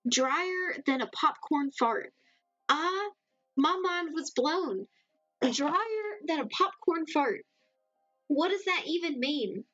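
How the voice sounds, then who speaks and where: A woman's voice: high voice, lively intonation, slow pace, a bit bright, dry recording, muffled recording, faint music behind. A woman with a mild Southern American accent, chatting loosely with her live audience, rambling and laughing often. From Southern United States. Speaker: livestream host. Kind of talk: casual chat with a live audience.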